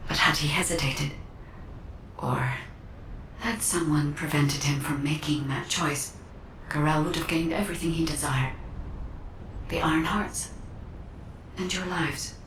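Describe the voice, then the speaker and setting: Echoing, low-voiced solemn woman guide. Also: fairly even intonation, unhurried, pauses often, a bit bright. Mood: solemn, apologetic. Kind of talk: video game story voice acting. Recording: echoey, distant, faint rumbling noise.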